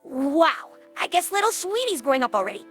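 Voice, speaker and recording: A woman's voice: sits high, big pitch swings, a little fast, faint music behind. A woman voicing a brash, loyal young female pegasus pony with a youthful, slangy, cocky delivery in a cartoon audio drama. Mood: excited. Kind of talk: animated cartoon-style audio drama dialogue.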